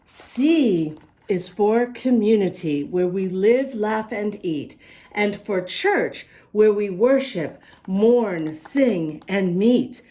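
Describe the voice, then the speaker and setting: Woman doing kids storytime read-aloud, slow pace, expressive, talks right to the little listeners. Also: medium pitch. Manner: expressive, addresses child listeners directly with questions. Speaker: children's storytime reader and host. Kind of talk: read-aloud picture book for young children, with asides.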